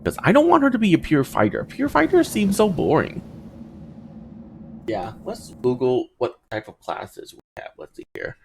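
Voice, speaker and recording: A man's voice: a little high, wildly swooping pitch, normal speed, vocal fry, a little hiss or hum. A man giving casual gameplay commentary, thinking aloud in a hesitant, musing way and often trailing off between thoughts. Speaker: video game streamer.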